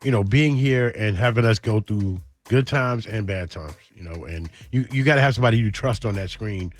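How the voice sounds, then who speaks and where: A man's voice: on the low side, a little fast, soft background music. A man hosting a casual radio show, chatting effusively and chiming in often. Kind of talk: casual talk radio chat. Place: radio studio. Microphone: studio microphone.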